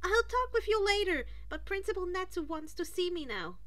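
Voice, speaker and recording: A woman's voice: extremely high pitch, very animated, moderate pace, fairly bright tone, pitched above the performer's own voice, heavily acted. A woman voicing a polite, eager and nervous teenage schoolboy in a story reading, with an apologetic, earnest manner. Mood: earnest, friendly.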